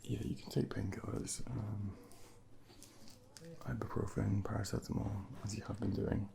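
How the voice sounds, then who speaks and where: A man speaking softly and breathily, at times whispering, as a gentle, reassuring doctor in an ASMR medical role play, close to a binaural microphone. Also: on the low side, level tone. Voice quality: breathy soft voice slipping into whispers. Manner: gentle, reassuring, unhurried asides. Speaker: ASMR performer playing a junior doctor. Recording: clearly noisy close binaural recording, ambient background.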